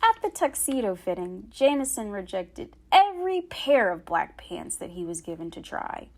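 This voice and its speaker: A woman storyteller reading a picture book aloud to children, with expressive, dramatic phrasing and careful enunciation. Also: mid-range voice, normal speed.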